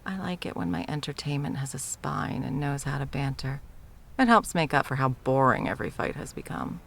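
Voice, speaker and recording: A woman's voice: a bass voice, big pitch swings, a little fast, vocal fry, exaggerated voice. A woman voicing an arrogant, undying sorceress tyrant in an ASMR roleplay, taunting, theatrical and condescending. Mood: amused taunting. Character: arrogant undying sorceress tyrant, mocking, easily bored, playful. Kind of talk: ASMR roleplay audio drama.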